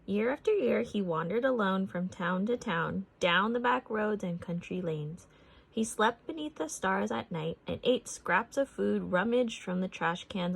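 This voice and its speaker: A woman narrating a picture book as a read-aloud for children, expressive and deliberate in her phrasing. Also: medium pitch, gravelly, husky.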